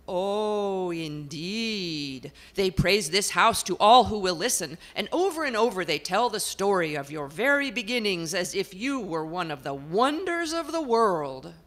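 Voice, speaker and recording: A woman's voice: big pitch swings, a little slow, few pauses, fairly bright tone. A woman doing a prim, exasperated old portrait lady. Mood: indignant chatter. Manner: old-fashioned formal diction, shrill bursts. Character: haughty indignant old portrait lady, long dead.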